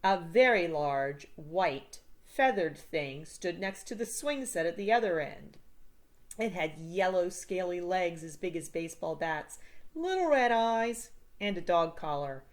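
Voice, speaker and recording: A woman reading a children's chapter book aloud in an expressive teacher's style. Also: lowish voice, unhurried.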